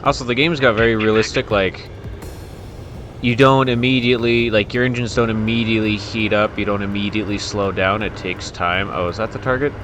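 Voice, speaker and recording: A low-pitched man gives off-the-cuff commentary while he plays a game. He rambles informally and grumbles in asides, with music and combat game sounds playing clearly behind him. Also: steady tone, a little fast, fairly bright tone. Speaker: gamer commentating on own gameplay. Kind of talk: casual gameplay commentary. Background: flight combat game sounds, explosions and music.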